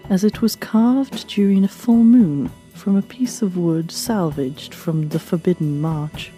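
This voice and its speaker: A woman's voice: on the low side, dark tone. A woman playing a wry, exasperated presenter of a fictional story show, speaking scripted lines in a dry manner over background music. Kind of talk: scripted in-character hosting. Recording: music behind the voice.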